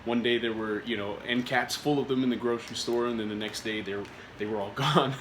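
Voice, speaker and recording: A man's voice: medium pitch, fairly even intonation, fairly quick, fairly bright tone. Rambling man reviewing snacks, roomy echo. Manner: rambling, many filler words and restarts. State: recovering from a cold. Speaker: food reviewer. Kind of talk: casual product review vlog. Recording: echoey room with faint noise.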